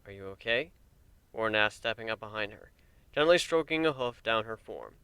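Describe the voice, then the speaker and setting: A man narrating a dramatic reading of fan fiction in an expressive, measured storytelling manner. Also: lowish voice, clean tone, no room sound.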